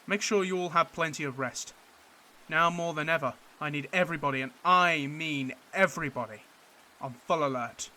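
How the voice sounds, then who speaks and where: An actor playing a stern army officer in a radio war drama, speaking with authority and firm emphasis. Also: male voice, a little high, moderate pace, pushed and bright. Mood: commanding, resolute. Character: stern, commanding male army officer briefing troops.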